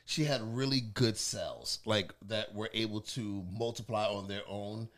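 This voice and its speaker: A man's voice: fairly low pitch, measured pace. A man co-hosting a podcast, chatting casually, swearing a lot and laughing often. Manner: animated, profane, laughs often. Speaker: podcast co-host. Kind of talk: casual chat.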